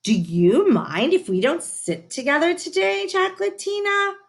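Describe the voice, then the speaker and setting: A woman's voice: very high-pitched, animated, a little slow, gravelly, pitched above the performer's own voice, heavily acted. A woman voicing a fussy young schoolgirl in a children's storybook, speaking politely. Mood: apologetic.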